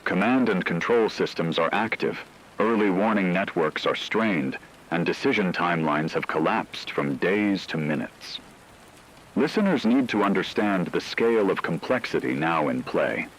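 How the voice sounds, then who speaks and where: A man playing a grave war analyst in a scripted emergency radio broadcast, measured, formal and ominous, over a noisy, rain-like hiss. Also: sits low, lively intonation, fairly bright tone, heavily acted. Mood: grave, foreboding. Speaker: news correspondent.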